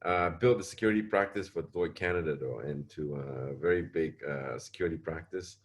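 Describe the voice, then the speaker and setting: A man's voice: very deep voice, brisk. A middle-aged man from Singapore, a panelist speaking on a webinar video call, answering at length with frequent hesitations and repeated words. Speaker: panelist, security advisor.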